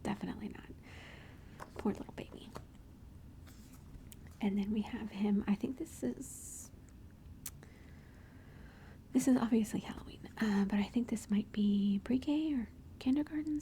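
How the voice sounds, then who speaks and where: A woman's voice: medium pitch, measured pace, pauses often, mellow. A woman whispering throughout as she shows family photos and gushes fondly over her kids. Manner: gushing, affectionate, chatty. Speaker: mother showing family photos. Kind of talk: whispered ASMR photo show-and-tell.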